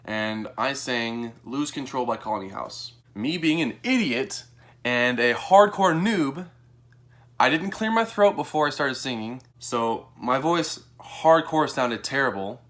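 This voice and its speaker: Young American guy telling a story casually. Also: unhurried. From Texas, United States. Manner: animated, acts out quoted dialogue in a higher voice. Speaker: vlogger.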